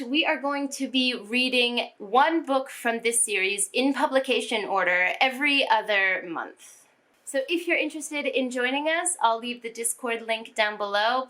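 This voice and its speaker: A woman's voice: on the high side, sharp tone. A young woman chatting casually about books she read, laughing often and filling with "like" and "you know". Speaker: book vlogger. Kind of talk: casual book review chat. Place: home room with bookshelves.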